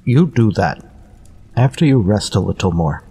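A man playing a stoic, loyal companion in a western radio drama, speaking clipped broken English in a husky voice. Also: on the low side, expressive, normal speed, mellow. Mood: grave, firm. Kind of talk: old-time western radio acting. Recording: distant, long hall-like reverb.

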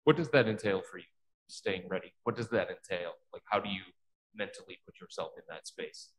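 A man's voice: low-pitched, a little fast, a bit bright, poor audio. A male sports reporter asking a player direct, probing questions at a press conference.

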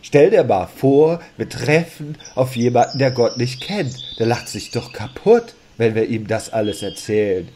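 A man's voice: mid-range voice, expressive, measured pace, few pauses, dark tone, slight background noise, natural voice. A man acting a sulky, doubting Adam in a comedic audio drama. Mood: exasperated. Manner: whiny, exasperated. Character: Adam, a sleepy, grumbling, doubting first man.

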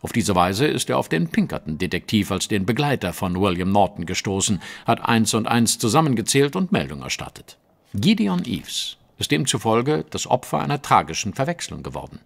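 A man's voice: fairly low pitch, sing-song, moderate pace, creaky, exaggerated voice. An actor playing a brilliant, self-assured male detective in a German audio drama, lecturing precisely and emphatically. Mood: grave, deductive.

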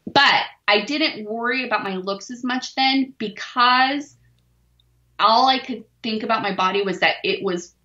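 A woman's voice: fairly high pitch, measured pace, pushed and bright, roomy sound, highs cut off. A forty-year-old woman, a weightlifter, talking openly and self-deprecatingly as a podcast guest, laughing easily. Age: 40s. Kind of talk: candid podcast interview.

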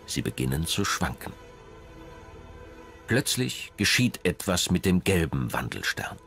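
A man narrating a scripted documentary in a measured, dramatic storytelling manner. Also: low voice, big pitch swings, creaky, a bit bright, faint music behind.

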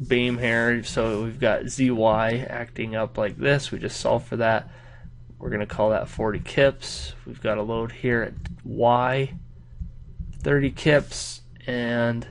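A man's voice: medium pitch, a little hiss or hum. A man with a nasal, stuffed-up voice from a cold, walking through an engineering problem in a casual tutoring style. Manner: casual, thinking aloud while working problems. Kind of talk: whiteboard lesson walkthrough.

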